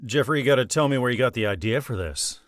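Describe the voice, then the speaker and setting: A man's voice: medium pitch, expressive, quick pace, a bit bright, no echo, studio quality. A man hosting a book interview show, polished and promotional. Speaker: host.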